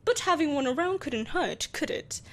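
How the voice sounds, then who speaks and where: A woman's voice: high voice, animated, moderate pace. A woman playing an imperious, seductive evil empress in a scripted roleplay audio drama, speaking in a haughty, theatrical, coaxing manner. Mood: seductive, tempting. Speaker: voice actor.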